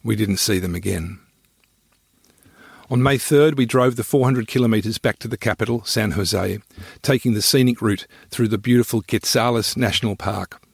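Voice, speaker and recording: Low, husky man narrating a travel story. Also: moderate pace, dry recording, studio quality. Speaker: storyteller and podcast host reading own travel memoir. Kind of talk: scripted first-person travel narration.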